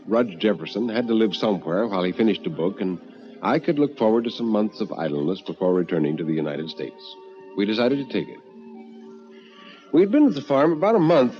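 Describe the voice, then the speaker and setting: A man reading stories in a measured, formal old-time radio storytelling style. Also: fairly low pitch. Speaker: story reader. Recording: muffled radio recording, faint music.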